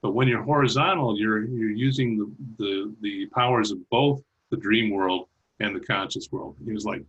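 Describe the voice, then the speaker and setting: A man speaking as a guest over a video call, talking haltingly with many restarts and light laughs. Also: on the low side, fairly bright tone, slightly rough, dull audio. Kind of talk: casual chat.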